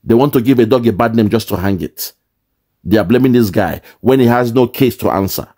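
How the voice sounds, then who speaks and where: A man's voice: mid-range voice, a little fast, warm tone. A man commenting on a video clip, indignantly explaining and repeating his points with rhetorical questions.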